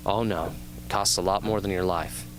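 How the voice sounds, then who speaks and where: Man acting as young male survivor, haunted, earnest, defiant, low voice, intense storytelling, radio play, music underneath. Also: fairly even intonation, normal speed, natural voice. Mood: haunted, reflective. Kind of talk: amateur radio drama acting, interview scene.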